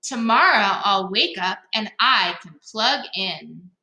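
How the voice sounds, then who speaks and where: A woman voicing an excitable, impulsive young boy in a children's storybook read-aloud. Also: medium pitch, takes it slowly, heavily acted. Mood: relieved. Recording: echoey, roomy sound.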